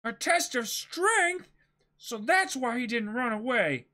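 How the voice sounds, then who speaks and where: Guy putting on an excitable young pirate, boyish and bright, way up high, manga reading. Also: slow, exaggerated voice. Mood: eager, impressed. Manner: exclamatory, boyish. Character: eager, brash young male pirate, naive and excitable.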